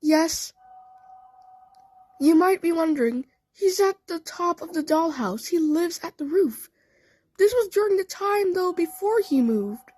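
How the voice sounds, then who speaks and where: A performer voicing a female cartoon cat scientist telling a story in a halting, rambling way, in a fan audio play. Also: high-pitched, dark tone, no room sound.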